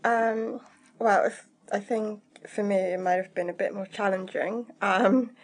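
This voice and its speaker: A woman's voice: medium pitch, steady tone, unhurried. A woman whose first language is Somali, talking hesitantly on a panel with many fillers. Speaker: panelist, translator.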